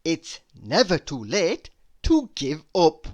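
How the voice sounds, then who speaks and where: A man reading humorous quotes aloud in a deliberate, mock-inspirational manner. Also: a little high, huge pitch swings, very noisy. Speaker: reader.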